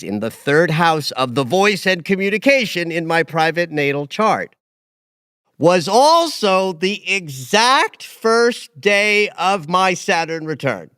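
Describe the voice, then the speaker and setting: A man in his late fifties hosts a casual astrology podcast. His voice sits a little high and moves in wide, lively swings, with emphatic peaks and quiet asides. The sound is dry and close. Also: clear, resonant tone, dry recording. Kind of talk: casual conversational chat.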